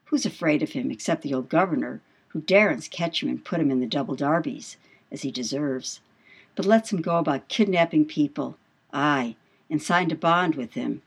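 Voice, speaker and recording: A woman's voice: low voice, gravelly, breathy edge, heavily acted. A woman playing a brash, boastful male sailor in an audiobook, with salty, swaggering speech and emphatic questions. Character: brash, boastful male whaling mate telling devil yarns. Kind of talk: dramatised audiobook dialogue.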